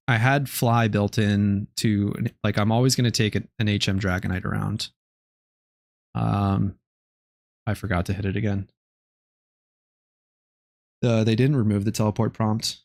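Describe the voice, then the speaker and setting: A young man streaming a game live, chatting casually in a low-key, relaxed way with many ums and restarts. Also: vocal fry, no room sound, studio quality. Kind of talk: casual live-stream chat while gaming.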